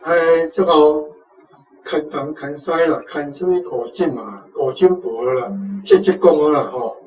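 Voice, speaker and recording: A man with a Taiwanese accent explaining points as a language teacher, informally and with some halting. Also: fairly high pitch, darkish tone, rough recording. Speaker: teacher giving on-site guidance. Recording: clear background noise, room ambience.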